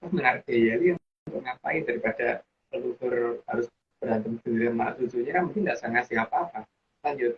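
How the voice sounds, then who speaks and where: A man with a lilt talks at an everyday mid pitch, explaining at length. He loops back and restarts, leaning on fillers as he goes. The audio is dull and lo-fi, with a faint hiss underneath. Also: fast. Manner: rambling, restarts with frequent fillers. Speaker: guest explaining teachings. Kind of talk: casual explanatory chat.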